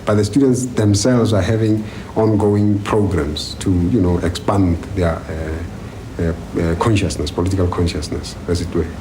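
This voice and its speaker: A South African man giving a documentary interview in a deliberate, formal and emphatic manner. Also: sits low, steady tone. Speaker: education activist interviewee. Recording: noisy 1980s newsreel location sound.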